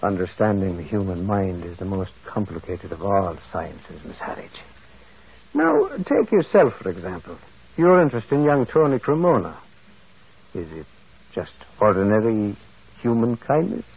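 A male actor playing a gentle, shrewd priest detective in a radio mystery, musing and hesitant, often repeating words. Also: fairly low pitch, sing-song, normal speed, breathy edge, exaggerated voice. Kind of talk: vintage radio mystery acting. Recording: dull, muffled old radio recording.

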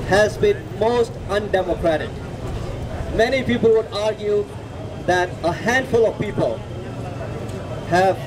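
A middle-aged man with a Nepali accent speaks at an outdoor rally in a distinctly high voice. His political speech is earnest and deliberate, with repeated words for emphasis. Traffic and city street noise are plainly heard around the podium microphone. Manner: emphatic, deliberate, with repetition for stress. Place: outdoor city plaza at night. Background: street traffic and urban ambience.

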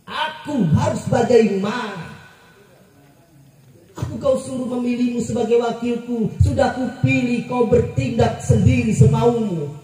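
A man's voice: very high voice, unhurried. A man reciting a protest poem with dramatic, declamatory delivery at a village stage performance. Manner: declamatory, rising to shouts. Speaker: poetry reader. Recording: echoey stage PA from far off.

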